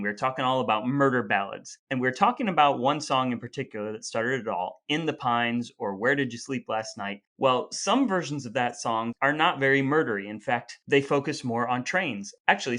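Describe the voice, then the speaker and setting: A man's voice: medium pitch, fairly bright tone. Dry, pun-loving male podcast co-host. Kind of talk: casual chat with scripted segments.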